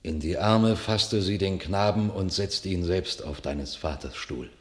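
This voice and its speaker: A grave, loyal knight reports bitter news in a classical radio drama. His voice is low, his delivery stern and declamatory, in formal verse. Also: a little slow. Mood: grave, indignant.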